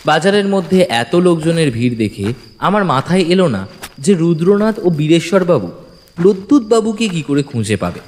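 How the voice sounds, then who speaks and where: Expressive male storyteller with long reverb. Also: on the high side, moderate pace. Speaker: story narrator. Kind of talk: dramatic scripted first-person narration. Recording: long reverb, music bed. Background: music.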